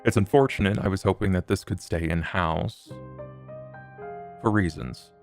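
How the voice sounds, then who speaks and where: A man taking part as a player in a tabletop game, speaking in character in a measured, formal way. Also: low-pitched, faint music behind.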